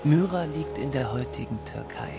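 A man's voice: on the high side, animated, normal speed. A man narrating a fanciful Christmas tale in the first person, as scripted audio drama storytelling. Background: loud music.

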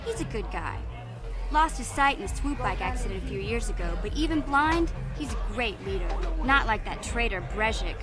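A high, youthful voice for a streetwise alien girl in a video game, acted by a woman. She talks brashly and slangily, full of sass. Music plays clearly behind her. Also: animated, moderate pace, natural voice. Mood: indignant, informative. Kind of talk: video game character dialogue.

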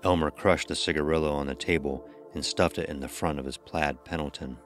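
A man's voice: rumbling low, steady tone, a little fast, soft background music. A man narrating a scripted horror story as the narrator of an audio drama.

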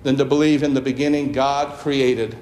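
A man's voice: mid-range voice, steady tone, normal speed, a little mellow. A man preaching a sermon as a pastor, emphatic and declarative, in a church. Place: church sanctuary with a congregation. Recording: roomy, echoing church with some noise.

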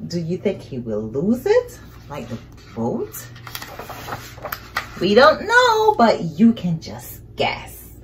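A woman's voice: on the low side, huge pitch swings, takes it slowly, slight background noise. A middle-aged woman telling a picture-book story to young children, expressively, with exclamations and questions. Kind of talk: children's picture book read-aloud, improvised narration with questions. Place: small indoor living room, seated on a couch.

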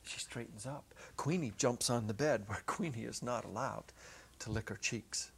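A middle-aged man giving an expressive, deliberate dramatic reading of a short story, with theatrical pauses. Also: medium pitch, creaky. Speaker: story reader, teacher. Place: small indoor set. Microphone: lapel microphone. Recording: noisy old videotape recording.

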